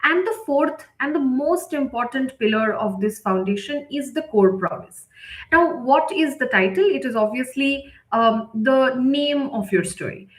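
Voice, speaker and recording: A woman's voice: high voice, animated, a little slow. A woman editor leading a live instructional session, explaining step by step and often checking in with her listeners. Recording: roomy, echoing sound.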